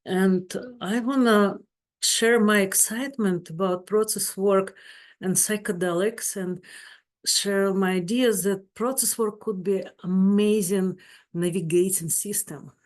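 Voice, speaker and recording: Woman, Russian accent, second-language English, slow, earnest, webinar speaker. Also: mid-range voice. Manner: slow, searching for words, warm and earnest. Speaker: therapist. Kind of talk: webinar presentation.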